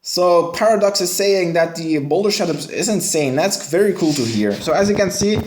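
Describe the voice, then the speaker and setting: A man's voice: high voice, lively intonation, moderate pace, darkish tone. A young man from West Flanders with a West Flemish accent, chatting casually with viewers as he streams a mobile game. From Belgium, West Flanders. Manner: chatty, answers viewer chat while playing. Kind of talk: casual live-stream commentary. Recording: echoey room.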